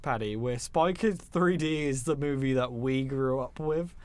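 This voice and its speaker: A man's voice: medium pitch, a little slow, a little mellow, clear, resonant tone. A young man co-hosting a podcast, talking casually about films. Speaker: podcast co-host. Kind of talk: casual film discussion with scripted plot recap.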